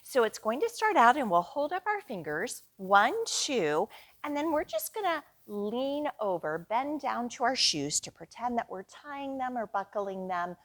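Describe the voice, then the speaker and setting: A woman leading a storytime for young children, reading aloud in a deliberate, singsong, instructional way. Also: a little high, sing-song, fairly bright tone. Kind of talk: slow read-aloud storytime for young children, with sung refrains and action rhymes.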